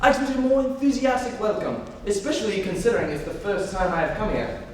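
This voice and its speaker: A flippant young gentleman idler from a stage comedy, played by a young man. He teases in a high voice with mock earnestness. The sound is distant and reverberant, with faint noise. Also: fast, close to an everyday voice. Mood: mock-contrite. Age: young adult. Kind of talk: amateur dramatic reading of a stage comedy. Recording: echoey hall, distant mic, faint noise.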